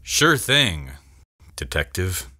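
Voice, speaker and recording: A man's voice: low voice, very animated, slow, sharp tone, no room sound, studio quality, exaggerated voice. A man playing an obliging, unflappable, laconic shop clerk in a radio comedy. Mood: knowing. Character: obliging, low-key male shop clerk, secret accomplice.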